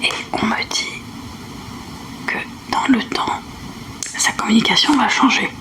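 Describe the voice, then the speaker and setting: A woman whispering softly and breathily in an ASMR roleplay as a blunt fortune-teller who repeats phrases slowly. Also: fairly high pitch, poor audio. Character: unhinged clairvoyant woman taking phone consultations.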